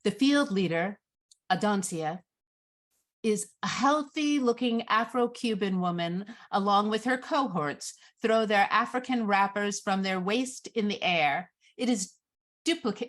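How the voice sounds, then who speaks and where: A woman narrates a screenplay at a live script read. Her pitch sits mid-range and her delivery is slow, measured and descriptive as she sets out each scene. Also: animated. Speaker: narrator reading stage directions.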